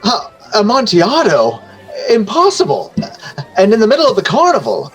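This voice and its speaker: An actor playing a drunken, boastful wine connoisseur, pompous and exclamatory, in a theatrical dramatic reading. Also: male voice, high-pitched, huge pitch swings, moderate pace, faint music behind, poor audio. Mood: incredulous. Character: tipsy, boastful, excitable male wine connoisseur.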